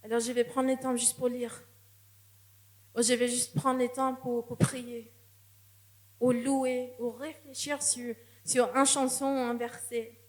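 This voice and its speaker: A woman preaches in a church, and her voice sits a little high. She speaks in an earnest, personal tone and often restarts a phrase before going on. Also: flat delivery, measured pace, a little mellow. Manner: earnest, testimonial, with frequent restarts. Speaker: guest preacher. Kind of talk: sermon.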